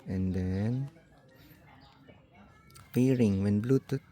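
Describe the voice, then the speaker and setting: A man's voice: fairly low pitch, very slow, dark tone. A Filipino man giving a casual hands-on gadget walkthrough, thinking aloud tentatively and mixing in English technical words. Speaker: vlogger.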